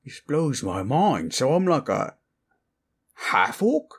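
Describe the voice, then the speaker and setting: A man voicing a dim, good-natured orc chieftain in a fantasy audio roleplay, with a husky voice and a blustery, incredulous manner. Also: medium pitch, very animated, pitched above the performer's own voice, full character voice. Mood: baffled, amazed. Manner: slow-witted, blustery, incredulous.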